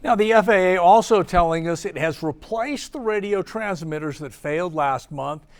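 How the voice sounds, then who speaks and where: A man's voice: high voice, normal speed. An American man in his sixties, an investigative television reporter speaking in a broadcast news style. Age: 60s. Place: TV studio.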